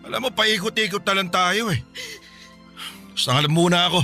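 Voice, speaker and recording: A man's voice: sits high, fairly quick, pushed and bright, soft background music. A man acting an evasive husband in a Tagalog radio drama, defensive, scoffing and blustering. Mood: indignant. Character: indignant, evasive older husband denying an affair.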